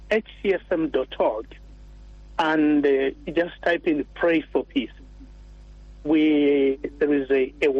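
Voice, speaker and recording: A priest from Uganda speaks earnestly and warmly as a radio guest, with a fairly high voice. He pauses and restarts often as he talks. The sound is dull and dry, as from a radio studio. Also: no room sound. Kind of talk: radio interview.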